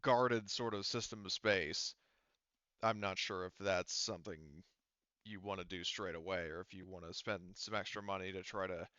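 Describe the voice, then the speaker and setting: A man running a tabletop game as game master, explaining rules casually in a halting, rambling way with self-corrections. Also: deep voice, sharp tone, clean tone, no echo, highs cut off.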